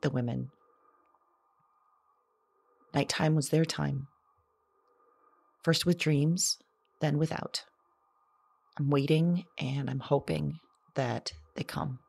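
Actress as lonely haunted woman doing audio diary, slow n hesitant, intimate, dry close sound. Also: rumbling low, gravelly, husky, dry recording, full character voice. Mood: anxious, hopeful. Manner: halting, hesitant, hushed reflective delivery. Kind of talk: intimate confessional audio drama monologue.

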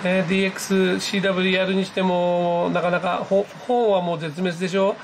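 A man giving an excited, casual running commentary to viewers as a radio hobbyist, exclaiming and muttering to himself. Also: high voice, fast, gravelly, slight background noise, low-quality recording. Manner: exclamatory, talking to himself and the screen. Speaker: amateur radio hobbyist narrating own video. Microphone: handheld camera mic, pointed at computer screen.

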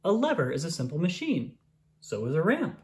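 A narrator reading a children's science book aloud in a clear, expressive storytelling manner for young children. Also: mid-range voice, brisk.

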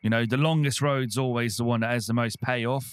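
A man's voice: medium pitch, steady tone, fairly quick, clean tone. A man playing a tabletop game, bantering in a casual, folksy, sweary way.

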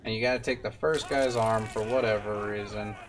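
A man's voice: fairly low pitch, fairly even intonation, a little fast, background noise. A man in his twenties giving casual commentary as he streams a video game. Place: indoor room at home. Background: game music.

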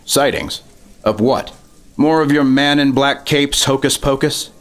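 An actor playing a sly, self-important government official in a retro 1950s radio drama, smooth-talking and insinuating. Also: male voice, mid-range voice, unhurried, fairly bright tone. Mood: probing, guarded.

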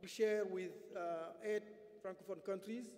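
Man from Senegal, panel moderator, high mellow voice, hall reverb, emphatic, halting. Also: fairly even intonation. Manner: emphatic, halting with many fillers. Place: large conference hall stage.

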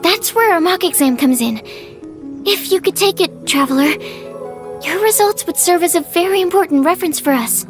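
An extremely high, girlish-pitched young female alchemist from a video game. She is polite, bookish and earnest, explaining in a careful, precise way. Music plays behind her voice. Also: expressive, moderate pace. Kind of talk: video game dialogue acting.

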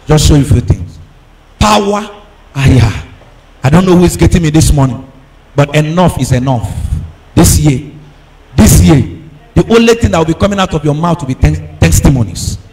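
A man preaching a charismatic sermon, emphatic and exhorting, repeating phrases, firing questions at his congregation and at times breaking into shouts. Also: on the high side, expressive, a little fast, long pauses, poor audio. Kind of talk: charismatic sermon with call and response.

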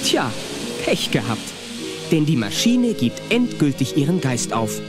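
A man narrating a scripted children's audio play as an animated storyteller. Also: a little high, a little slow. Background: music.

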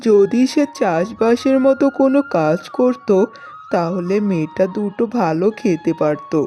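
A woman's voice: medium pitch, lively intonation, measured pace, dark tone, close to an everyday voice. A woman voicing an earnest, worried middle-aged village mother in a cartoon story. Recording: music bed under the voice.

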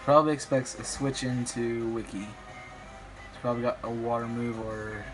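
A slightly raspy young man, a bit sick, commentating his online game battle in a rambling, hesitant way with many ums. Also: mid-range voice, fairly even intonation, moderate pace, poor audio. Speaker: gaming YouTuber. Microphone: phone filming a screen. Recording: music playing behind.

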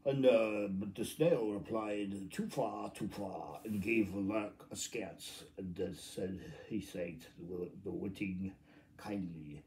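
A man in his fifties reading a children's story aloud in a halting, rambling, theatrical way, stumbling over words and repeating them. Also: deep voice, darkish tone, rough recording. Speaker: amateur storybook reader. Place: living room at home, indoors. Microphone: phone at arm's length.

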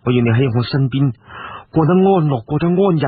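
A storyteller plays a gentle, remorseful hero, a man speaking earnestly and protectively. His voice is very husky. It comes through thin and band-limited, like radio. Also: on the high side, animated, a little fast, dry recording, phone-quality sound. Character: tender, remorseful young male hero, protective lover.

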